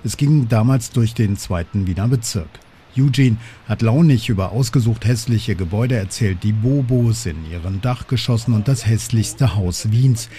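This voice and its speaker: A man hosting and narrating a podcast in calm, measured scripted voice-over. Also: fairly low pitch.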